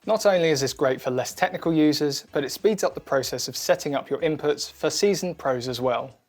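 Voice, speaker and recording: A man presenting a scripted product demo in a clear, methodical, instructional manner. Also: on the high side, brisk. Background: background music.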